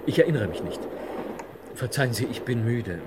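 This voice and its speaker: A very noisy old radio play recording of an actor playing a man on a train. He is evasive and irritable, speaking curtly and formally at a middling pitch. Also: lively intonation, normal speed, natural voice. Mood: irritated, evasive. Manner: curt, stiffly formal. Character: evasive, irritable, nervous male train passenger. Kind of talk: 1960s German radio drama acting.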